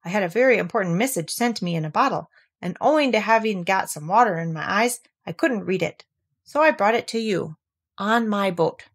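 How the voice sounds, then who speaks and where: A woman's voice: mid-range voice, expressive, brisk, slightly rough. A woman voicing a proud, simple, earnest male toy bear in a children's storybook, explaining things plainly.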